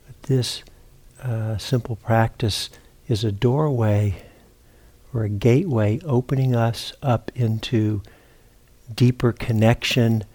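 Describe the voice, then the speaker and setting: A man teaching meditation, giving guided instruction in a halting, unhurried way with long pauses and repeated words. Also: medium pitch, fairly even intonation, darkish tone. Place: meditation retreat center.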